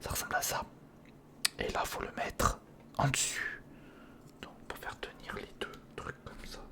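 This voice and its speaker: A man's voice: a little slow, bright, forceful tone. A man giving whispered ASMR commentary on a hands-on build, murmuring to himself as he thinks and chatting informally to the viewer. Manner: murmurs to himself while thinking through steps; informal, chummy address to the viewer. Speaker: ASMR video host.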